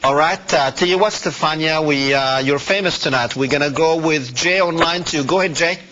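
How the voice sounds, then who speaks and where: Bright-voiced man hosting a muffled phone-in show. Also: on the high side, normal speed, slight background noise. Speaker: host of a call-in talk show. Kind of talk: live phone-in discussion. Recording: slightly muffled broadcast audio.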